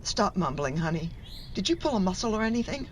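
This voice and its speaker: A woman playing an exasperated, fussy middle-aged wife and mother in a radio play, sharp-tongued and insistent. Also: medium pitch, very animated, a little fast, slight background noise, lightly acted. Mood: fussing.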